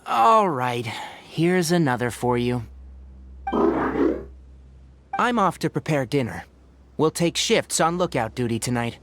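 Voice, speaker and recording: A man voicing a sensible, scholarly young forest ranger in a video game, articulate and gently wry. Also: medium pitch, animated, heavy background noise, close to an everyday voice. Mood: considerate. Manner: measured, instructive, articulate.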